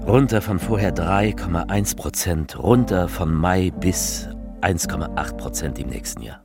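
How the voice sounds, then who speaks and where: An actor playing a grave, fervent political orator, delivering a rhetorical, slightly absurd theatrical speech with deliberate phrasing and emphatic repetition. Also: male voice, deep voice, music in the background. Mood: bleak, downcast.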